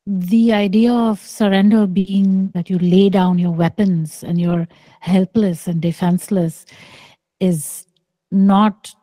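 Middle-aged woman, spiritual teacher; very slow, mellow, dry close video-call sound. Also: medium pitch, steady tone, no echo. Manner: slow, soft and deliberate, with long pauses. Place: small room indoors. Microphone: close microphone on a video call.

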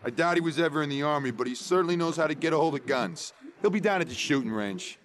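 An actor playing a laid-back safecracker crook in a video game, talking in a casual, dry way. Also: male voice, a little high, quick pace, close to an everyday voice. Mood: unfazed. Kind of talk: video game cutscene acting.

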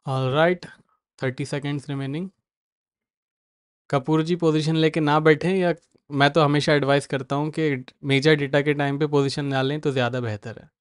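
A man's voice: a little high, steady tone, a little slow, smooth, no room sound, studio quality. A man with an Indian accent hosting a live market stream, answering viewers by name in a mix of Hindi and English. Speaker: market analyst host.

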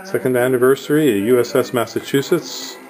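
A man's voice: medium pitch, fairly even intonation, a little fast, a little mellow, faint music behind, poor audio. A man who sells antiques from hauling jobs, talking casually and reading old items aloud while filming with a handheld camera. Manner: rambling, plain-spoken, reads items aloud. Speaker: hauler selling collected antiques. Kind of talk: casual handheld video tour.

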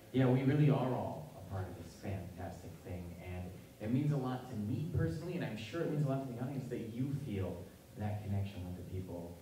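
A man hosts a convention panel in an earnest, grateful manner. His voice is low and mellow. He is heard from far off in a very echoey hall. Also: a little hiss or hum. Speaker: panel moderator.

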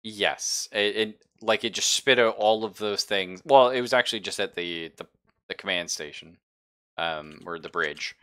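Male game master, low-pitched with a bright, forceful edge, informal and sweary storytelling. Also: moderate pace, smooth. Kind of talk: casual narration of a tabletop roleplaying game. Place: online game session, streamed.

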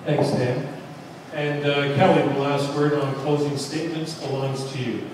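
A man's voice: mid-range voice, fairly even intonation, measured pace. A man moderating a debate, briefly and courteously handing over the floor. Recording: echoey hall from far off, background noise.

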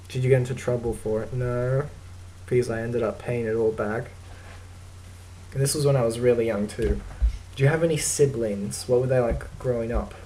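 A young man with an Australian accent, talking casually to his webcam in a dry, deadpan, self-mocking way while answering a list of questions. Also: mid-range voice, a little hiss or hum, poor audio. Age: young adult. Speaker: vlogger. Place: small indoor room.

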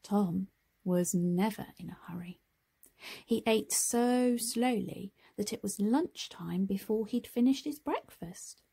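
A woman narrating a children's picture book, expressive and deliberate in her phrasing. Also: mid-range voice, creaky, husky. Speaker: storybook reader. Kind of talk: scripted children's story narration.

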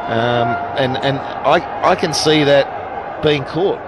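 A man giving live cricket commentary, bursting into shouts at big moments and explaining the rules between them. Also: medium pitch, slow, noisy background. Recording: broadcast commentary over loud crowd.

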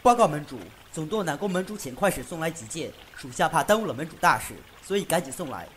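A man playing a fast-talking, dutiful messenger. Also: on the high side, sing-song, fairly bright tone, a little hiss or hum. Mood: urgent. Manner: hurried, reporting formally. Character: urgent, dutiful messenger underling in ancient China. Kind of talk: amateur Chinese period audio drama acting.

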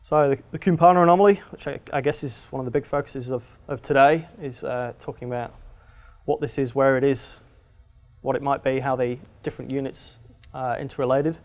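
A man, presenting geology at a lectern in a conference room, halting with many fillers and restarts. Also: mid-range voice, fairly even intonation, a little mellow. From Australia. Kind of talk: technical conference talk. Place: conference room with projector screen. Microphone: lectern microphone.

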